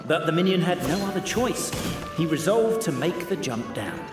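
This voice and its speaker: A man's voice: fairly high pitch, sing-song, normal speed. A man voicing a cheeky, theatrical minion in a video game, given to stammering and mock-storybook narration. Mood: mock-dramatic. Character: cornered, cheeky, theatrical male minion narrating his own escape. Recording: echoey hall reverb, music behind.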